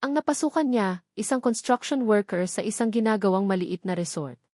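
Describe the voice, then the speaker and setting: Female narrator for romantic audio drama, medium pitch, emotional scripted first-person delivery, very dry sound. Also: brisk, warm tone, no room sound. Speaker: story narrator.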